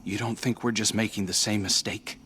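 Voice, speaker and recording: A man playing a low-voiced remorseful wanderer. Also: steady tone, fairly quick, a little hiss or hum, lightly acted. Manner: quiet, bitter, wary. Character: remorseful young man haunted by his past.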